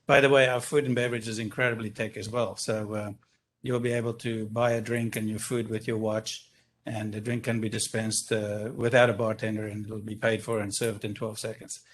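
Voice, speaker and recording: A man's voice: on the low side, level tone, brisk, slight background noise. Enthusiastic South African businessman. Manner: enthusiastic, expansive, self-deprecating. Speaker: business owner presenting a project. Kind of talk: public comment at a council meeting. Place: council chamber. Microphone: speaking at a stand-up microphone.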